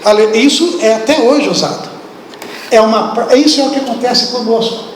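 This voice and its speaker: A Brazilian man preaches with a very high-pitched voice, speaking directly to his congregation and throwing questions at them. The sound is roomy, with a long church-hall reverb and a faint hiss behind it. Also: normal speed. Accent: Brazilian Portuguese. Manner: animated, acts out biblical speech, asks the congregation questions. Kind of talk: sermon. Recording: echoey hall, distant room.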